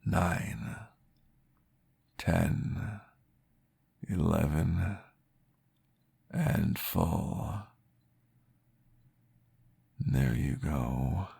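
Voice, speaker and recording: A man voicing a calm, patient male vampire therapist, soft-spoken and reassuring, often dropping into a whisper in an ASMR roleplay. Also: low voice, extremely slow, long pauses, full character voice. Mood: soothing whisper. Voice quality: gravelly, husky. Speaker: therapist guiding a listener.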